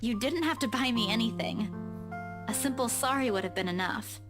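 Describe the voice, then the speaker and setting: A woman's voice: fairly high pitch, moderate pace, over music, close to an everyday voice. An actress playing a guarded, direct and easily exasperated young woman in a visual novel game. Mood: guarded, impatient.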